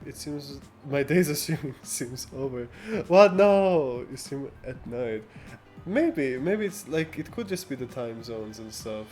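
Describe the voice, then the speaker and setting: A man's voice: mid-range voice, expressive, darkish tone. Casual male livestreamer over background music. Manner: halting, rambling delivery with frequent false starts and laughter. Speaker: livestreamer chatting with viewers. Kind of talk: casual chat, reading and answering live comments.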